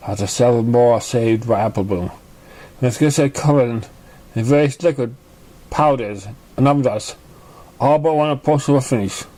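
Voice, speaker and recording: A man's voice: medium pitch, normal speed, warm tone. A man reading fan fiction aloud as scripted narration, stumbling over the words here and there. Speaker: amateur story reader.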